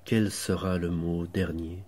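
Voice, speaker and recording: Guy reading poems real slow, soft mellow tone, kinda husky, lots of pauses between short lines. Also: low-pitched, flat delivery. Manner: measured, contemplative, with deliberate pauses between short phrases. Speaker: poet reading own poems. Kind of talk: slow literary poetry recitation.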